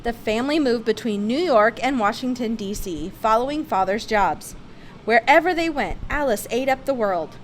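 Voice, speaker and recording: High-voiced woman reading a storybook aloud. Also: animated, normal speed, slight background noise. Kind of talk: read-aloud children's storybook narration.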